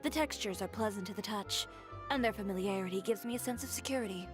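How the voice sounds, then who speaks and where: Cool young female designer, played by a woman: self-assured, blunt, mid-pitched, anime game delivery over music. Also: fast, natural voice. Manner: composed, blunt, dry. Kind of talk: anime-style video game voice acting.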